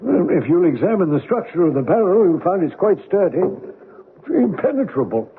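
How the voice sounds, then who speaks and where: An actor playing a fussy, easily astonished older gentleman sidekick with a hesitant, blustering delivery in a radio drama. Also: high-pitched, sing-song, brisk, a little hiss or hum. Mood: flustered. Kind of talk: old-time radio drama acting. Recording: muffled narrow-band old radio recording.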